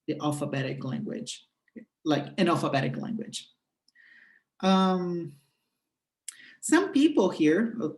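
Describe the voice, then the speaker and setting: A speaker whose first language is Portuguese walks through an app step by step, explaining in a halting way with frequent fillers. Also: mid-range voice, darkish tone. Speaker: presenter demonstrating a language-exchange app. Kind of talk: screen walkthrough tutorial. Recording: roomy echo.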